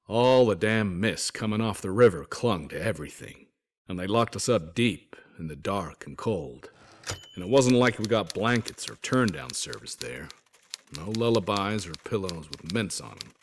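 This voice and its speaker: A man's voice: on the low side, a bit bright. An actor voicing a weary, wry soldier turned survivor in a video game, narrating his letters home in a folksy, grumbling way. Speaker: voice actor reading journal notes. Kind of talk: dramatic first-person letter narration.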